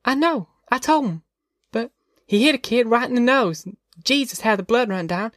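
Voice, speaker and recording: Man doing a young girl, high lifted pitch, restless tattler, folksy grammar, audiobook character. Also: expressive, moderate pace, fairly bright tone, no room sound, clean studio sound. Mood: eager tattling. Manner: folksy, ungrammatical child talk.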